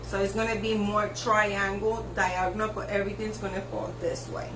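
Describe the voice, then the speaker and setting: A woman hairstylist whose first language is Spanish, giving slow step-by-step instructions for a haircut, with lots of repetition. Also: mid-range voice, level tone, noisy background. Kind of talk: haircut tutorial narration. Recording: echoey room with faint ambience.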